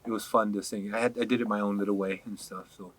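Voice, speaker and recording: A man from Hawaii, a podcast guest, chatting casually and telling animated stories, laughing often. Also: lowish voice, quick pace, breathy edge. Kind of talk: casual interview chat.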